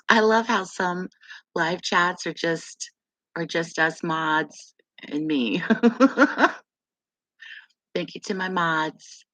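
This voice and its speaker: Woman, middle-aged, from West Virginia, deep gravelly voice, slow rambling chat, frequent laughs, low pitch. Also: pushed and bright, no echo. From West Virginia, USA. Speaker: live stream host. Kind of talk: casual live chat with viewers.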